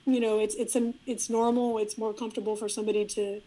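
Middle-aged woman, spanish first language, fairly high voice, chatty and thoughtful, lots of restarts. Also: little pitch movement, quick pace, mellow, low-quality recording. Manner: reflective, frequent restarts and fillers. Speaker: guest. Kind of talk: casual interview conversation.

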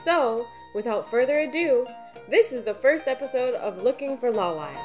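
Lively higher-pitched woman co-hosting a podcast. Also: normal speed, over loud music. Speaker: podcast co-host. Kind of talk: scripted podcast narration.

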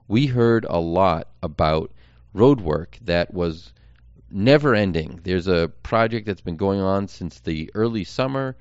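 An American man giving an informal campaign update as a podcast host and candidate, explaining things earnestly in short measured phrases. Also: low voice, a little mellow, smooth, no echo, muffled recording.

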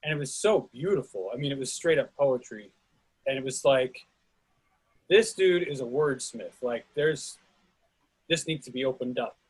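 A middle-aged man giving informal feedback on a play reading in a halting, thoughtful, sincere way. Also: medium pitch, husky, low-quality recording.

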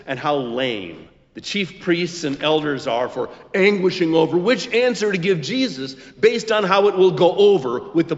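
A man preaches in a muffled recording with long hall reverberation. He takes his time, phrasing his prepared sermon emphatically and with very animated intonation. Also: fairly high pitch. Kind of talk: scripted expository sermon with quotations.

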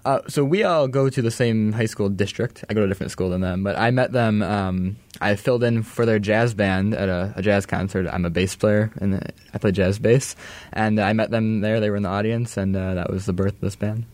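A man's voice: fairly low pitch, fairly even intonation, a little fast, darkish tone, no echo. An 18-year-old man, a guest musician, answering interview questions hesitantly with many fillers in a radio studio. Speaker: guest musician, bass player.

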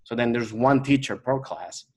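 A man's voice: medium pitch, moderate pace, a little mellow. A Venezuelan-born man whose first language is Spanish, a comedian guest chatting on a podcast and rambling with many fillers and restarts. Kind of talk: casual podcast chat.

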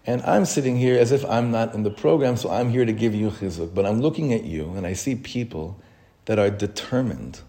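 Earnest rabbi teaching a class. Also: male voice, lowish voice, warm tone, a little hiss or hum. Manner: earnest, self-questioning, repeats phrases for emphasis; reads Hebrew text quickly, then explains it. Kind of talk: informal Torah talk.

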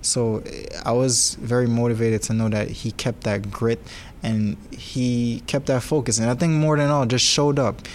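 New student, flat-toned young man. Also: medium pitch, moderate pace, a little hiss or hum. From New York. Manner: enthusiastic, casual fillers. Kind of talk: interview answer.

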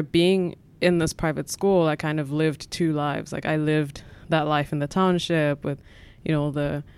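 A woman's voice: deep voice, darkish tone, smooth. A South African woman in her twenties, a podcast guest answering questions in a thoughtful, reflective way with frequent fillers. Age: 20s. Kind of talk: conversational interview answers.

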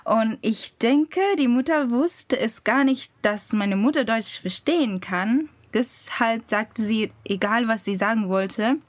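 Podcast co-host: young woman, unhurried and word-searching, giggly, recorded very dry. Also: on the high side, no room sound. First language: Japanese. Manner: halting, searching for words, giggly. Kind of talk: casual personal storytelling.

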